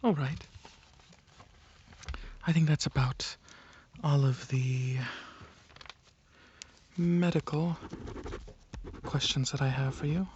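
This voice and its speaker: A man performing soft-spoken ASMR roleplay as a calm alien dragon examiner, hesitant and clinical, with a breathy voice that slips into whispers. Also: a little high, highs cut off, a little hiss or hum. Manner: hesitant, pausing, clinical questioning.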